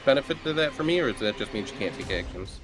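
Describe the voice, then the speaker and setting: A man's voice: lowish voice, expressive, quick pace. A man playing a tabletop game, chatting casually with joking, sweary banter.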